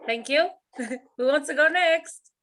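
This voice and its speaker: A woman's voice: high-pitched, slow, a bit bright. A woman leading an online writing class on a video call, encouraging her students, laughing often and repeating herself as she talks.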